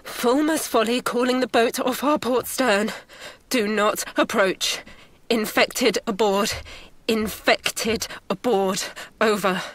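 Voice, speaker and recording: A woman's voice: fairly high pitch, a bit bright, no room sound. A woman playing a desperate, protective mother at sea in an audio drama, narrating in the first person with intense emotion. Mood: urgent warning. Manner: intense, emotionally charged delivery.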